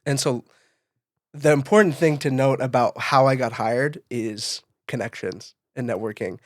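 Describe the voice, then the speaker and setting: A man's voice: medium pitch, measured pace, dry recording. Casual young-sounding male podcaster, close and dry. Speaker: podcast co-host, event photographer. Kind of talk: casual podcast chat, storytelling.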